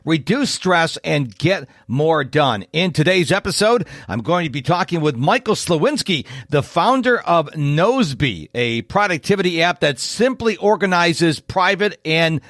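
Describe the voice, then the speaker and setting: A man's voice: a little high, sing-song, unhurried, few pauses, a bit bright, no room sound, studio quality. A man hosting a podcast, chatting in an upbeat, folksy way. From United States. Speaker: podcast host. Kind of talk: casual interview chat.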